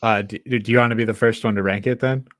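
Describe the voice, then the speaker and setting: A man hosting a casual film review discussion, speaking in a halting, hesitant way. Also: on the low side, fairly even intonation, a bit bright, no room sound, clean studio sound. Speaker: podcast host.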